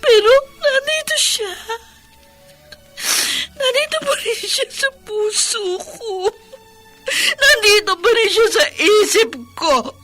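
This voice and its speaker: An actress playing a grieving middle-aged widowed mother in a radio drama, crying through an anguished, drawn-out delivery. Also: very high-pitched, fairly bright tone, exaggerated voice. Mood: tearful longing. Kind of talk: melodramatic Tagalog radio drama acting. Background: music.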